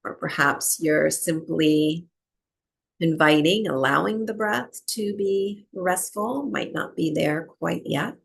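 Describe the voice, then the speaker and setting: Woman leading a guided meditation, super slow with long pauses, bit husky and creaky. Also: deep voice. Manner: unhurried, with long pauses between short phrases. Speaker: breathwork and yoga nidra teacher.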